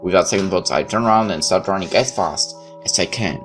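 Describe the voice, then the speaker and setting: A man's voice: deep voice, fairly quick, fairly bright tone, soft background music. A man reading a visual novel aloud like a dramatic storyteller, tossing in his own asides. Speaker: let's player. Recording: clear background noise.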